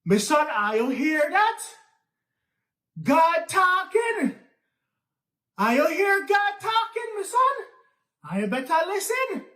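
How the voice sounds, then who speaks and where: Bro Nancy, a storyteller doing a squeaky Caribbean trickster. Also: very animated, slow, pauses often, sharp tone, exaggerated voice. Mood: sly. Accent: Caribbean English. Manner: high, squeaky and cocky. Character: boastful lazy male trickster, Bro Nancy. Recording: echoey room, distant mic.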